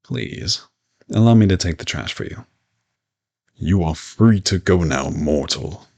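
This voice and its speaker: A man playing a gentle, courteous old vampire in an intimate audio roleplay, reassuring and lightly teasing as he speaks to the listener. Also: low-pitched, expressive, measured pace, gravelly, heavily acted. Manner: soft-spoken reassurance, politely formal with teasing asides.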